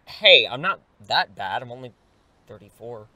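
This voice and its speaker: A man's voice: mid-range voice, very animated, moderate pace, sharp tone, no echo, rough recording. A man playing a wordy superhero in his thirties who is secretly an English teacher, theatrical but easily flustered, in a comedic audio drama. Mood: awkward, sheepish. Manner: glib, wordy, awkward when cornered.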